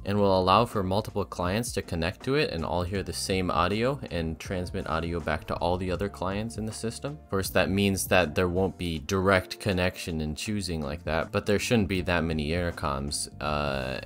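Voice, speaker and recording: Low-voiced young man narrating over music. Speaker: hobbyist project vlogger. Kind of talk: casual tutorial narration of a DIY electronics project.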